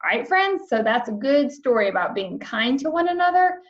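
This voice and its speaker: A woman's voice: sits high, sing-song, a little fast, lo-fi sound. A woman schoolteacher reading aloud and talking to young children in simple, emphatic phrases. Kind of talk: children's read-aloud and lesson.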